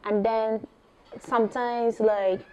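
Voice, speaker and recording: A woman's voice: fairly high pitch, slow, slight background noise, rough recording. A young woman from Barbados with a Barbadian accent, speaking into a handheld mic as the guest at a live artist talk in a small gallery. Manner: animated, expressive storytelling.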